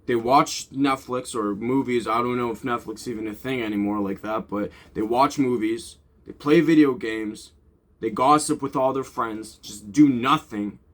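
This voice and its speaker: A man's voice: medium pitch, moderate pace. A young man giving casual, off-the-cuff motivational talk as a vlogger, rambling and informal with blunt swearing.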